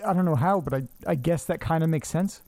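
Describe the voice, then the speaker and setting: Guy acting as a nervy lonely man talking to himself, horror audio drama, soft mellow voice, kinda high, super dry sound. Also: no room sound, natural voice. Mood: puzzled, uneasy. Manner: halting, muttering, talking to himself. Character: anxious, lonely, self-doubting man talking to himself and his pets.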